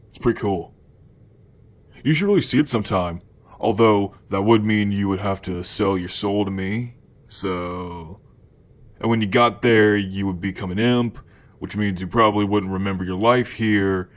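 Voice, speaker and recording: A man's voice: lowish voice, close to an everyday voice. A man playing a cocky, food-obsessed male demon in an ASMR roleplay, talking to the listener in a casual, hesitant slacker drawl. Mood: amused, casual.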